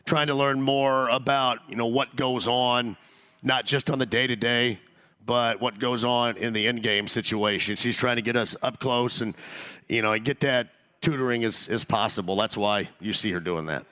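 A man hosting a sports talk radio show, hoarse after losing his voice, talking loosely with many restarts. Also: mid-range voice, fairly even intonation, normal speed, pushed and bright. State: hoarse, lost voice.

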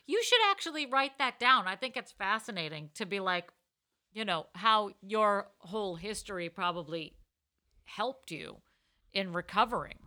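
Bright, effusive woman podcast host. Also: medium pitch, animated, moderate pace. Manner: effusive, playful. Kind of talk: comedy advice podcast chat.

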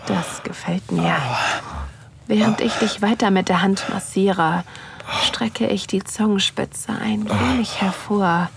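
An actress playing a nervous, curious wife who narrates an intimate erotic audio drama in a soft, often whispering voice. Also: female voice, medium pitch, steady tone, slow pace, creaky, noisy background. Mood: aroused, absorbed.

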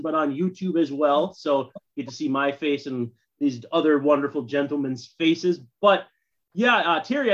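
American guy in his 30s hosting a sports podcast, kinda higher voice, muffled lo-fi audio. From Minnesota, USA. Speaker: podcast host. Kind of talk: casual sports podcast chat.

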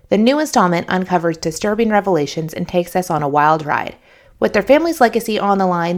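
A woman's voice: lowish voice, vocal fry. A woman hosting a podcast, reading a promo in a chatty, informal way. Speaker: podcast host. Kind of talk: casual host-read promo.

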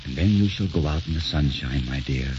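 An actor playing a grieving, tormented poet husband with a brooding, melodramatic delivery in the style of 1940s radio drama. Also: male voice, extremely low pitch, fairly quick, background noise. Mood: tender, sad. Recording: muffled old 1940s radio recording, music behind.

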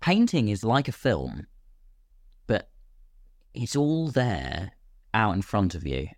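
A man's voice: on the low side, wildly swooping pitch, slow pace, a little mellow, no room sound, studio quality. A British man talking casually as a podcast guest, explaining his craft and acting out imagined questions as he goes. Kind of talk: casual conversational explanation of filmmaking.

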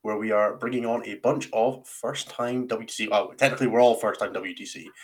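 A man's voice: on the low side, steady tone, a little fast. A man casually hosting a round table, with a wry, teasing, self-deprecating way of talking. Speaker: podcast host and team captain.

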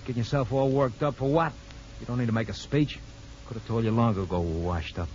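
A man's voice: medium pitch, fast talker, a little mellow, lightly acted. Actor playing tough male convict, curt, slangy, scornful, old radio crime melodrama, dull sound with faint noise. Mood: bitter, dismissive. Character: gruff, sneering male convict, the jilted tough boyfriend. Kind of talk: 1950s radio drama acting. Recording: muffled, noisy old radio broadcast.

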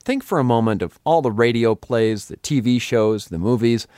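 A man's voice: medium pitch, lively intonation, normal speed, warm tone, no room sound, clean studio sound. A man hosting a radio program with scripted narration. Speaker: radio host.

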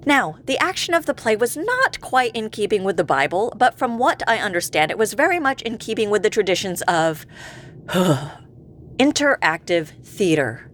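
An exasperated boss in a comedy podcast drama. Her voice sits at a middling pitch but swings widely as she recounts a fiasco with biting sarcasm. There is faint background noise. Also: sing-song, normal speed, a bit bright. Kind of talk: comedy audio drama acting.

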